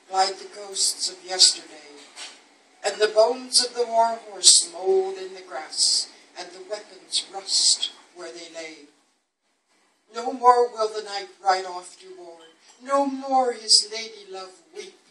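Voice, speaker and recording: Female poetry reader, unhurried dramatic storytelling, roomy distant live recording with faint noise. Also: mid-range voice, bright, forceful tone. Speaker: poet reading at a book launch. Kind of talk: recited rhyming poetry reading. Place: indoor book launch event. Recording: echoey room, distant mic, faint noise.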